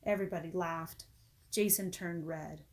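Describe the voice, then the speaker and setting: A woman reading a children's storybook aloud as an expressive narrator. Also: on the low side, normal speed. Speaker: storybook reader.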